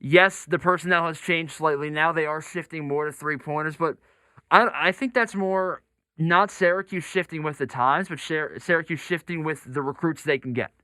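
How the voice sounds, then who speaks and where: A male sports radio host with a fairly high, very bright voice. He debates basketball insistently and pushes back on points, sounding crisp and close in a dry studio sound. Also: moderate pace, dry recording. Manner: argumentative, insistent.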